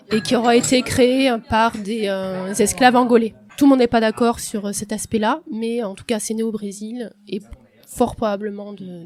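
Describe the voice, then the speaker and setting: A young woman from France giving a reflective, hesitant personal testimony on a podcast, with many pauses and restarts. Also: fairly high pitch, darkish tone. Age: young adult. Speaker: podcast guest.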